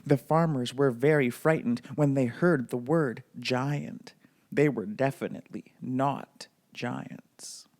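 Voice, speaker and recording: Guy reading a kids bedtime story out loud, nice and slow. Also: mid-range voice. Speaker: storybook reader.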